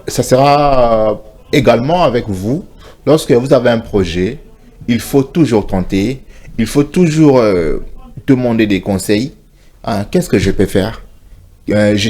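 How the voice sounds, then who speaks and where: A man's voice: on the low side, a little slow, darkish tone. A man co-hosting a morning TV show, giving earnest advice in a casual studio chat and slipping into Lingala.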